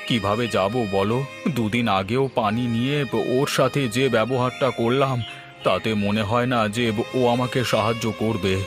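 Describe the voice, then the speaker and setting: A man's voice: medium pitch, normal speed, close to an everyday voice. A man voicing a downcast, remorseful homeless village husband in a moral-tale cartoon, plaintive and resigned. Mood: resigned, regretful. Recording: constant instrumental music behind the voice.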